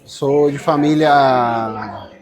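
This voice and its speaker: A man's voice: medium pitch, extremely slow. A middle-aged man with a São Paulo Brazilian accent, chatting casually and slangily as an interview guest. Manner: informal, slangy. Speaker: interview guest, furniture designer. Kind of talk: casual chat.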